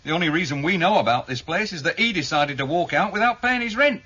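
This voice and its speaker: Deferential junior British investigator, 1970s radio drama. Higher-set, forceful voice, matter-of-fact delivery. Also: male voice, animated, fast talker, husky, full character voice. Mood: methodical, matter-of-fact. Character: deferential but keen junior police investigator, male, methodical. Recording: dull, lo-fi 1978 radio broadcast.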